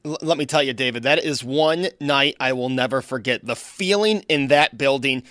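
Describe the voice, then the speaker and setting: A man co-hosting talk radio, telling a story earnestly with halting repeats, into a studio microphone. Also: medium pitch, fairly bright tone, dry recording. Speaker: radio co-host.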